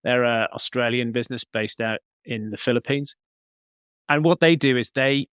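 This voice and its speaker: A man's voice: medium pitch, moderate pace, fairly bright tone, clean tone, no echo, clean studio sound. A man giving conversational interview answers as a podcast guest, explaining things as he thinks aloud. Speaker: podcast guest, business coach.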